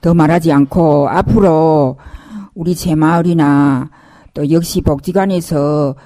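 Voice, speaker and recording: A woman, a guest on a radio interview, making a formal statement in deliberate phrases. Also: low voice, steady tone, dark tone. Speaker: volunteer group member, interview guest.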